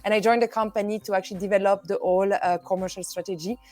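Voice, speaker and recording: A woman's voice: medium pitch, fairly even intonation, quick pace, darkish tone, faint music behind. A young woman from Belgium whose first language is French, answering interview questions in a friendly way with many fillers. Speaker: company executive, guest.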